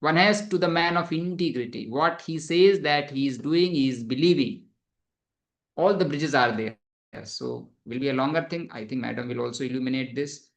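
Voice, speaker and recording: A man giving an academic webinar lecture over a video call, explaining earnestly and haltingly, with many fillers. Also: medium pitch, vocal fry.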